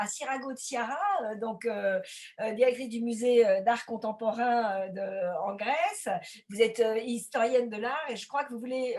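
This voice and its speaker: A woman moderating an online debate, introducing a speaker in a halting way with many fillers and repeated words. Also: on the high side, a little mellow. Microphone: video call.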